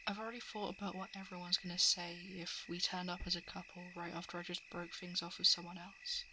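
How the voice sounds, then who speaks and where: Girl doing a shapeshifter monster pretending to be your crush, soft hesitant asmr confession, half whispered, loud crickets n frogs at night. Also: on the low side, little pitch movement, a little fast, background noise, natural voice. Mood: tender coaxing. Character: shapeshifting monster posing as a lovelorn crush, coaxing. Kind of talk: ASMR roleplay, intimate confession. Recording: night insect and frog ambience. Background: crickets, frogs and insects.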